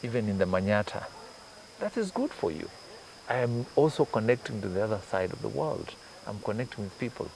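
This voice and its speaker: A man's voice: fairly low pitch, expressive, a little fast, creaky, darkish tone, a little hiss or hum, rough recording. A man from Kenya, a journalist guest in an interview, who explains his points patiently with examples. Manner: thoughtful, explains with examples and asides. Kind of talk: conversational interview.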